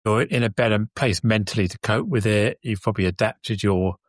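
A man with a deep voice hosting a casual podcast interview, asking loose, meandering questions. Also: a little fast, dry recording, studio quality. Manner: relaxed questioner, meandering phrasing. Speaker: podcast host.

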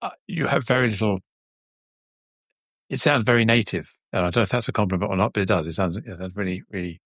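A man with a slightly low voice hosts a casual interview. He speaks conversationally, feeling his way through sentences in a hesitant, rambling manner. The sound is dry, with very little room in it. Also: quick pace, a bit bright, no room sound. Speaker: show host, interviewer.

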